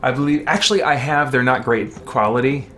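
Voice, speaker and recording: A man's voice: medium pitch, brisk. A man hosting a casual gaming livestream, chatting off the cuff. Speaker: video game streamer, host. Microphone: shared microphone set a little away from him. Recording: music playing behind the voice. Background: video game music.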